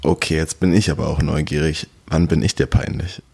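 A man's voice: a bass voice, fairly even intonation, fairly quick, gravelly, a little mellow, slightly rough. A man co-hosting a casual podcast, earnestly explaining his points step by step. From half American, half German, born in Germany. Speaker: podcast co-host.

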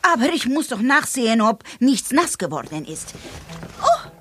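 An actress playing a flustered, apologetic waitress in a German audio drama, fussy and polite with overdone embarrassment. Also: female voice, fairly high pitch, very animated, moderate pace, fairly bright tone, slight background noise. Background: music.

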